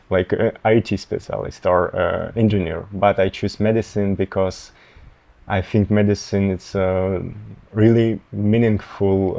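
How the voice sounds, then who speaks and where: A young man in his twenties with a Russian accent, a medical student answering interview questions haltingly, often pausing to find words. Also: sits low, vocal fry, darkish tone. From Tatarstan, Russia. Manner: halting, searching for words, many fillers.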